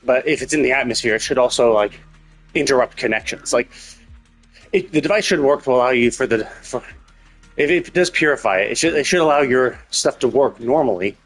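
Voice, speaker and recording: A man's voice: mid-range voice, brisk. Rambling male game streamer over faint music. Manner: rambling, musing, hedging as he reasons aloud. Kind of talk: casual live gameplay commentary.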